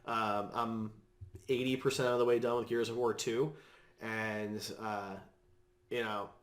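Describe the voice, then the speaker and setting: A man chatting casually on a podcast in a low-key, hesitant way, in a roomy space. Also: flat delivery, a little slow. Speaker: podcast panelist. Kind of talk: casual movie and game discussion. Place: indoor room with echo. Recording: roomy, echoing room.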